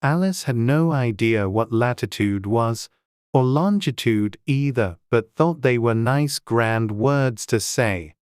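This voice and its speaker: An audiobook narrator reading a children's story in a measured, deliberate storytelling way. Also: mid-range voice, lively intonation, clean tone, no room sound, studio quality.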